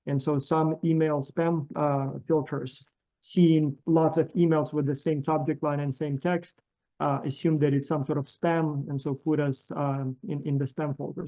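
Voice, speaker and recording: Man, Ukrainian accent, middle-aged, dark voice, muffled lo-fi video call. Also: on the high side. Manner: chatty, loose, many fillers and restarts. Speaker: professor and program founder. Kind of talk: casual online meeting talk. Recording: dull lo-fi video call audio.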